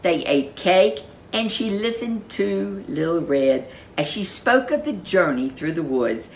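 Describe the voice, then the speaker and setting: A woman narrating a children's story in an expressive, dramatic storyteller's manner. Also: fairly low pitch, takes it slowly, slight background noise.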